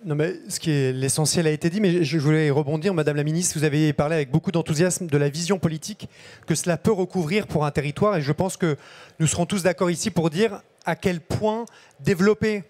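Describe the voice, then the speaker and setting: A man's voice: fairly high pitch, a little fast, darkish tone. A man speaking formally as a panelist at a conference. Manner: formal, addresses the minister.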